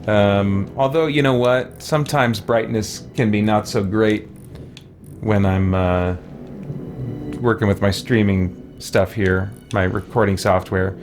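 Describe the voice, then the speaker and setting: A man's voice: fairly low pitch, steady tone, takes it slowly, soft background music. A man giving casual gaming commentary as a let's play host, rambling and thinking aloud over menus.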